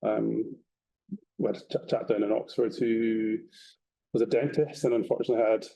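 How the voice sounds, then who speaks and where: A man's voice: lowish voice, flat delivery, moderate pace, gravelly, warm tone, poor audio. A man with an Edinburgh Scottish accent, a guest in a webinar talking in an easygoing way and often repeating words as he goes. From Scotland. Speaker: guest, property company representative. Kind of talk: conversational webinar talk.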